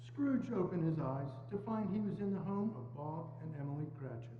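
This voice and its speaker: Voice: mid-range voice, expressive, brisk. A narrator reading scripted stage narration of a classic story. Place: indoors, church. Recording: muffled, thin echoey hall, faint hum.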